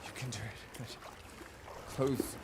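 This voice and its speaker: An educated, weary castaway, played by a man in a stage radio drama. He speaks earnestly and haltingly at a medium pitch, with loud watery noise all around. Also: steady tone, close to an everyday voice. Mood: exhausted, struggling. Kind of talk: theatrical live audio drama.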